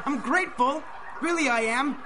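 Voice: high-pitched, normal speed, pushed and bright, slight background noise. A performer playing a frightened child thief in a radio drama, cowering and pleading. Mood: fearful apology.

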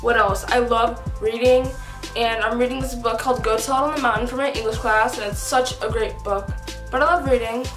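A high-pitched teenage girl rambles through a casual get-to-know-me chat, scattering fillers as she goes. Her voice bounces around an echoey bedroom, with music playing clearly behind her. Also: steady tone, brisk. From United States, East Coast. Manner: rambling and upbeat, many "like" and "whatever" fillers. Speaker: vlogger on a shared channel. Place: small bedroom indoors. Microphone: camera mic at close range.

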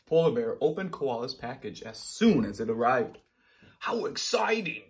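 An animated man in his thirties with a mid-pitched voice that swings up and down freely. He reads a children's storybook like an encouraging teacher, talking straight to his young listeners. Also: normal speed, a little hiss or hum. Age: 30s. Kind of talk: children's storybook read-aloud.

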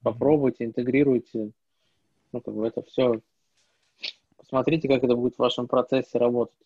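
A relaxed man in his thirties talks in a matter-of-fact way, heard through earbuds on a video call. The sound is dry and close, with a slightly dull, lo-fi edge. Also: medium pitch, brisk, husky, no echo. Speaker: conference speaker. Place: small wood-paneled room.

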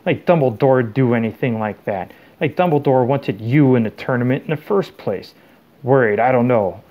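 Guy doing big rough rustic giant groundskeeper, hot tempered, gravelly, storybook voice. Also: medium pitch, expressive, normal speed, dull audio. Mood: angry. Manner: rustic, nonstandard grammar, blunt.

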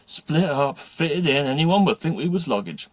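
A man's voice: fairly high pitch, lively intonation, normal speed, no echo, pitched above the performer's own voice. A man voicing a brash, streetwise schoolgirl who talks back in a blunt, scornful, colloquial way.

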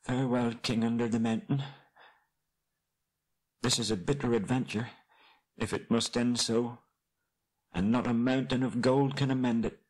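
A man's voice: medium pitch, fairly even intonation, moderate pace, pauses often, a little mellow, pitched above the performer's own voice, natural voice, rough recording. A man voicing a small, good-natured hobbit in a storybook, earnest and rueful, with polite old-fashioned phrasing. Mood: sorrowful, earnest.

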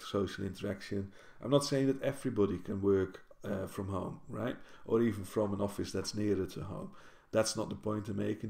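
A man's voice: fairly low pitch, gravelly, darkish tone. A man, talking casually to camera at home and rambling, correcting himself as he goes. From Netherlands. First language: Dutch. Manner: rambling, self-correcting, with many restarts. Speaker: university librarian. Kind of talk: casual talking-head vlog. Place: home kitchen, indoors. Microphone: built-in camera mic at desk distance. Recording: roomy kitchen echo.

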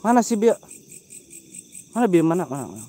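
A young man with a Sundanese accent and a stuffy nose from a cold, hosting a night ghost-hunting vlog outdoors on a close-held phone, switching between quick chatter and hushed, drawn-out calls to spirits. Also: high-pitched, very animated. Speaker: paranormal explorer vlogger. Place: outdoors at night among banana plants. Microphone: phone camera held close.

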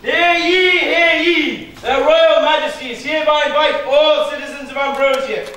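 Man acting a royal town crier, loud, declaiming, high-pitched, distant echoey stage recording. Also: steady tone, normal speed. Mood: pompous proclaiming. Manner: declaiming, proclaiming loudly. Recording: echoey theatre from far, noisy crowd.

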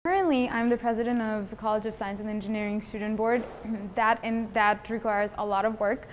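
A woman's voice: mid-range voice, steady tone, fairly quick, highs cut off, a little hiss or hum. A woman of about twenty from Bangladesh, speaks earnestly and articulately as a student giving an interview testimonial. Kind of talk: interview testimonial, semi-prepared talk. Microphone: lapel mic.

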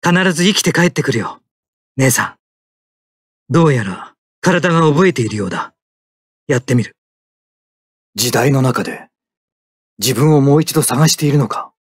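A man's voice: mid-range voice, animated, normal speed, long pauses, husky, dry recording, studio quality. An actor playing a stoic young male mecha pilot in an anime combat game, speaking in terse, tactical military phrases. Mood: cool, composed.

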